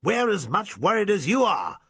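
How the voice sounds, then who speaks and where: A man voicing a gruff, blustering older country squire in an audiobook reading. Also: high-pitched, very animated, normal speed, a bit bright, dry recording, pitched above the performer's own voice, heavily acted.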